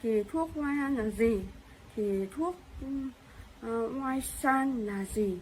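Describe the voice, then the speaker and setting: A woman's voice: high-pitched, a little mellow, slight background noise, poor audio. Chatty woman reviewer. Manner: chatty, fills pauses with hesitations. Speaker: product reviewer. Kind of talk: casual product review.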